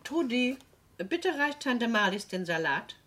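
An actress playing a brisk, bossy woman in a radio play, with a composed, prompting manner. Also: fairly high pitch, big pitch swings, a bit bright, slight background noise. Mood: brisk, managing. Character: brisk, bossy woman, deferential to her aunt.

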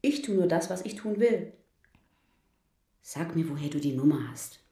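An actress playing a self-assured female escort in a radio drama, talking low and breathy. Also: lively intonation, normal speed, dark tone. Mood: defiant. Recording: roomy studio reverb.

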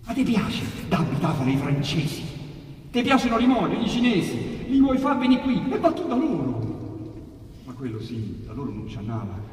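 A man's voice: on the high side, big pitch swings, normal speed. Theatrical man reciting in echoey hall. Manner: theatrical, swinging from loud outbursts to hushed asides. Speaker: reciter of dialect sonnets. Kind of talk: dramatic poetry recitation on radio. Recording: echoey hall, distant mic, faint hiss.

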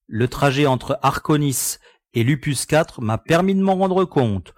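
A man's voice: a little high, lively intonation, a little slow, no echo. Man acting an easygoing pilot, very dry sound. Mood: amused, teasing. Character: easygoing, practical male spaceship pilot. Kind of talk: scripted French audio sci-fi drama.

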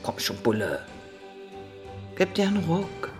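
An actress playing a brash, casual bank robber with a colloquial, teasing manner in a naturalistic radio drama. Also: female voice, fairly low pitch, huge pitch swings, a little slow. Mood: coaxing. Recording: music behind the voice. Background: music.

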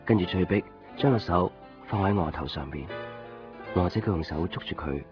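An actor playing a gentle, teasing, devoted young man in a Cantonese radio drama, quiet and understated, with a Hong Kong accent. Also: sits low, normal speed, close to an everyday voice. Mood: tender. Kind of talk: Cantonese radio drama acting, low-key and natural. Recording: dull lo-fi 1990s radio recording. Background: faint music.

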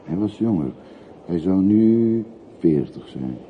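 On a dull old radio play recording with faint noise, an older working man with an extremely deep voice. He is courteous and curious, putting probing questions in a polite, slightly hesitant way. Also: expressive, slow pace. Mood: grieving, restrained. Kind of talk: radio drama acting.

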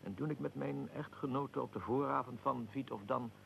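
An actor playing a proud, aggrieved middle-aged archduke in a radio drama, speaking formally and deliberately with a gravelly voice. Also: male voice, medium pitch, a bit bright, a little hiss or hum. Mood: reflective. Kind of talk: radio drama, historical figure being interviewed.

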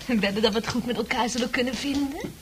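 An actress playing a chatty, nosy newcomer in a radio play, girlish and eager, with an excitable, coquettish manner. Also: on the high side, quick pace, natural voice. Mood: eager, coaxing. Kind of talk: Dutch radio play acting. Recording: noisy old radio drama recording.

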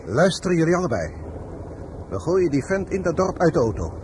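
An actor playing a ruthless, bigoted army major in a radio play, curt and commanding. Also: male voice, a little high, very animated, a little fast, noisy background. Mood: menacing.

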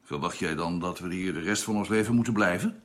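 An actor playing a practical, excitable male spaceship crewman in a radio play, speaking directly and emphatically. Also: low voice, fairly quick, lightly acted. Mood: earnest.

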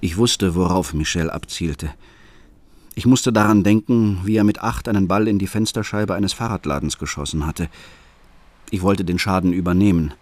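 An actor narrating a radio play as a troubled father, speaking in a calm, reflective, confessional way. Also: low voice, normal speed, no room sound. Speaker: first-person narrator.

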